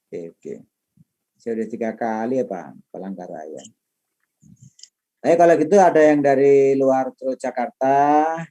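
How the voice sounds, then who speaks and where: Mellow middle-aged male lecturer. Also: medium pitch. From Madiun, East Java, Indonesia. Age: middle-aged, late 50s. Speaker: lecturer and trainer. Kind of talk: informal class introduction, chatty autobiographical talk.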